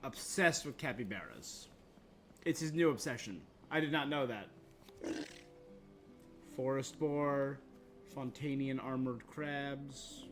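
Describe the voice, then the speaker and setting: A man's voice: mid-range voice, slow, long pauses, a bit bright, faint music behind. A man streaming a game live, reacting casually and musing in tentative questions and fragments.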